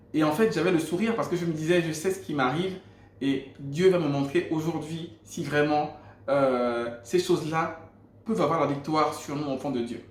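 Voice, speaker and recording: Gabonese man telling a testimony, roomy sound. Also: a little high. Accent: Gabonese French. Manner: earnest storytelling with frequent restarts. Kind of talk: personal faith testimony. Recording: roomy echo.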